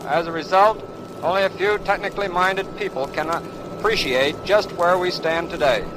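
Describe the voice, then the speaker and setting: Casting: male aviation expert, high-pitched voice, formal scripted 1930s radio speech, clearly noisy recording. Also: normal speed.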